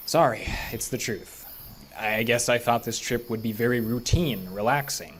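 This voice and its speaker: A man's voice: mid-range voice, normal speed, slight background noise, close to an everyday voice. An actor playing a skeptical, weary lawman in an audio horror drama, with a dry, dismissive way of talking. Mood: wry, dismissive.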